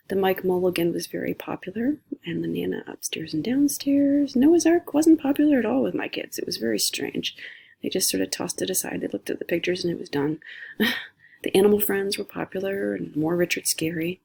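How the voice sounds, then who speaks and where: Casting: woman, husky with vocal fry, chatty homeschool-mom reviewer, unscripted, thinking aloud as she goes. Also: fairly low pitch, a little mellow. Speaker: homeschooling mother reviewing curriculum. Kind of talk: casual unscripted screencast review. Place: indoors, recording a screencast at a computer.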